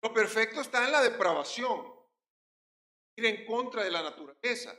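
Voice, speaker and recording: A male pastor with a Puerto Rican accent delivers an emphatic sermon in a high, ringing voice. He swings from loud stressed words to quiet drops. The sound is roomy, with the echo of a church hall. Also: animated, normal speed.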